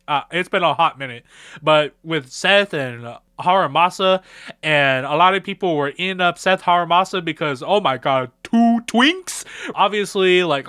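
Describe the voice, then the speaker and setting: A young man tells a story in a casual vlog style, full of slang. His voice is bright and clear and swings freely in pitch. The recording is close and dry. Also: no room sound. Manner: slangy, animated, acts out quoted dialogue. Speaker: video creator recapping fan game ideas.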